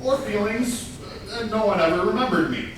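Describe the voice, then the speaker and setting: A man's voice: high-pitched, moderate pace, close to an everyday voice. A man playing an aggrieved, scheming party crasher in a comic whodunit, hesitant yet indignant, at a staged reading. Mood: aggrieved, confessing. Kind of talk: staged comedy play reading. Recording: echoey hall, far mic, faint noise.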